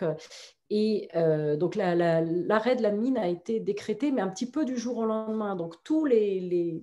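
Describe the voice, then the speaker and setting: A woman with a cold speaks in a nasal, stuffy voice. She explains her subject eagerly, restarting her sentences and using many fillers. Her voice is mellow and dark. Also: mid-range voice. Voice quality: nasal, congested. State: has a cold. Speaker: film director, guest. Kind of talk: interview answers.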